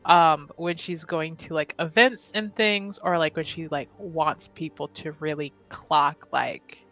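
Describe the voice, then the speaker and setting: A woman's voice: deep voice, unhurried, fairly bright tone, faint music behind, poor audio. A woman playing a tabletop roleplaying game, casually improvising narration for her character and hesitantly thinking aloud.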